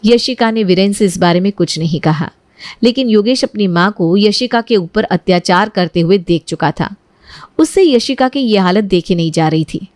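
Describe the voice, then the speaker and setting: A woman with a medium-pitched voice narrates a scripted moral story. The recording is dry and close. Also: normal speed, darkish tone, dry recording.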